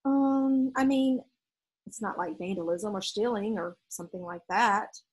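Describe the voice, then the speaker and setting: A woman voicing a flustered, hesitant father in a storybook, halting and stammering. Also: medium pitch, breathy edge. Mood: flustered, unsure.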